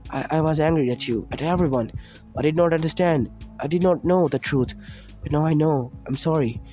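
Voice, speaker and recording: A man's voice: a little fast, warm tone, faint music behind, natural voice. A man doing a tearful pleading young boy. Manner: higher, childlike, tearful begging. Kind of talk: fan fiction reading.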